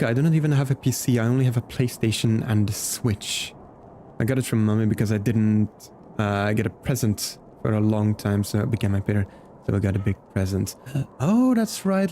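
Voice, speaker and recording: A young Flemish man, a native Dutch speaker, streaming a game and chatting with viewers, animated and laughing often. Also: normal speed, slight background noise. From Belgium. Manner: animated, laughs often, excitable pitch jumps. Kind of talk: casual live-stream chat reading comments.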